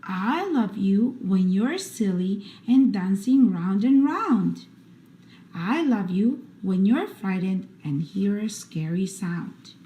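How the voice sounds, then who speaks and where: A woman hosting a read-aloud for children, reading picture books in an expressive, deliberate bedtime storytelling style. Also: mid-range voice. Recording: roomy echo, faint background noise.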